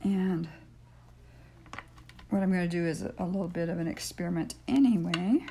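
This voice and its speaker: An older woman with a raspy, gravelly voice walks through a clay project in a relaxed, rambling way, pausing with ums as she works. Her voice sits fairly low, and a faint hiss runs under the fixed camera mic in her home craft room. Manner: chatty, thinking aloud with many ums while working. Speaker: polymer clay tutorial host. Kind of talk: casual craft demonstration. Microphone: stationary camera mic.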